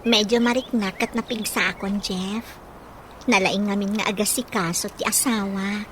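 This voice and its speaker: An actress with an Ilocano accent playing a gentle, grateful young woman in a radio drama. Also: medium pitch, steady tone, moderate pace, slight background noise, lightly acted. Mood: tender.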